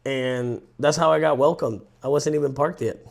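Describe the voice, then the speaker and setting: A gravelly-voiced man, chatting casually as a podcast co-host. He tells stories in an animated, rambling way, often restarting his sentences. His voice sits at an average pitch with a rough, fry-like edge. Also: moderate pace. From Colombia. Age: 30s. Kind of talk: casual chat.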